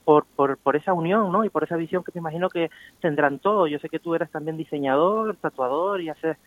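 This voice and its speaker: A man's voice: a little high, fairly quick, dry recording. A man with a slightly raised voice talks through a dull, lo-fi phone line. He is a guest on a radio interview, speaking reflectively and often ending his points with a question to his listener. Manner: thoughtful, with frequent tag questions. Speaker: guest graffiti artist.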